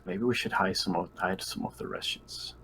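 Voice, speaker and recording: Male roleplayer, very low pitch, casual halting talk, faint background noise and room ambience. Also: huge pitch swings, gravelly, fairly bright tone. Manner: halting, thinking aloud. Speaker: player. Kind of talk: casual tabletop roleplay chat.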